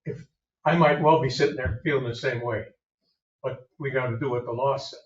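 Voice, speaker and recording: A man chairing a village zoning board meeting, thinking aloud in a measured, conversational way. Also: medium pitch, fairly even intonation. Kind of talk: public meeting discussion. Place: village hall meeting room. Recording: echoey room, distant muffled mic.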